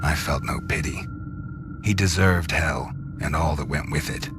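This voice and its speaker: A man playing a brooding vampire who narrates a noir video game in a terse, grim, deadpan way. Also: extremely low pitch, unhurried, heavy background noise. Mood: bleak. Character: brooding gravel-deep male vampire, hardboiled noir narrator. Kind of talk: scripted first-person noir game narration.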